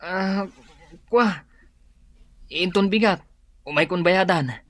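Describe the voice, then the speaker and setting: A woman's voice: fairly low pitch, slow, no echo. A woman with an Ilocano accent playing a shamefaced, nervous debtor who stammers and whines in a radio drama. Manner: hesitant, stammering, whining. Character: shamefaced, nervous debtor, grown child hiding from creditors.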